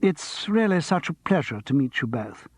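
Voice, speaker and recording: A man's voice: fairly high pitch, very animated, moderate pace, husky, no room sound, pitched above the performer's own voice. A man voicing a gushing, affected theatrical actress who coos and calls everyone darling, in a storybook reading. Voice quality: cooing, affected. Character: gushing theatrical actress, middle-aged woman.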